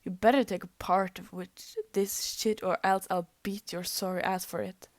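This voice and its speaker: A woman's voice: medium pitch, level tone, moderate pace, no echo, natural voice. A woman reading as a rude hot-tempered teen boy. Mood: gruff.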